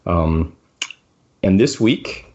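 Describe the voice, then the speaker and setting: Male podcast co-host, casual delivery, on the low side, recorded a little muffled and lo-fi. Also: expressive, extremely slow, dark tone. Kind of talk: casual chat. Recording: slightly dull, lo-fi audio.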